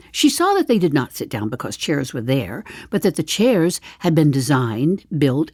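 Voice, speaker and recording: An older actress playing a wry, chatty seventy-five-year-old woman in a darkly comic stage monologue, musing to the listener with deliberate pauses. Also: deep voice, a little mellow, slightly rough, no echo, clean studio sound, heavily acted. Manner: deliberate, dramatic pauses, dropping to confiding asides. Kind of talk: theatrical monologue, storytelling to the listener.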